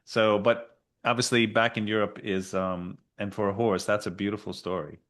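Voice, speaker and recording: A man hosting an interview podcast, talking in a halting, conversational way. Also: lowish voice, little pitch movement, fairly bright tone. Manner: halting, with fillers and restarts. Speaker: podcast host. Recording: faint guitar music behind.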